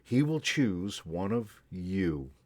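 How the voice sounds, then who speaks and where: Man voicing a brisk storybook train conductor, loud bold calls, lively swings in pitch. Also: no room sound, studio quality, full character voice. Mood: hearty. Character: hearty male train conductor, brisk and commanding.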